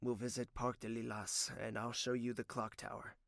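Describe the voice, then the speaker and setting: A man's voice: mid-range voice, little pitch movement, normal speed, vocal fry, a bit bright, no room sound, clean studio sound. A man playing a tender, secretive young prince with a formal, hesitant way of speaking in a romantic audio drama. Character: soft-spoken young prince in love, secretive and wistful. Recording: music under the voice.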